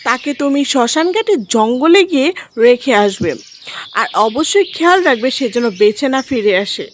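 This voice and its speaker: High-pitched scheming stepmother played by a woman. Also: lively intonation, moderate pace, slight background noise. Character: scheming, cruel stepmother, bossy and coaxing. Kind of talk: cartoon story voice acting.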